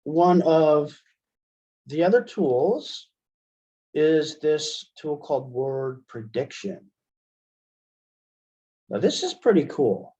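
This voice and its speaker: A man giving a casual software demonstration as an instructor, conversational and thinking aloud as he goes. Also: on the high side. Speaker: instructor, webinar presenter. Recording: dull, band-limited webinar audio.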